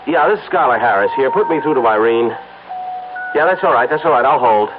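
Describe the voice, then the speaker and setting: A man's voice: mid-range voice, animated, a little fast, noisy background, lightly acted. An actor playing a strained, obsessive advertising man in a 1970s radio drama, talking in a clipped, evasive way. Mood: brisk. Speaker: lead character in a radio play. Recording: tinny, music-backed old radio broadcast.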